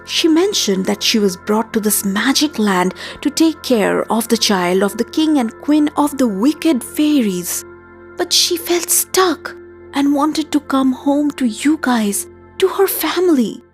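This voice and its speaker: Village midwife played by a female storyteller, uneasy and suspenseful, higher-pitched, very unhurried, folktale with music underneath. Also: expressive. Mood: startled, fearful. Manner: suspenseful, measured first-person recounting. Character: startled village midwife recounting an eerie encounter.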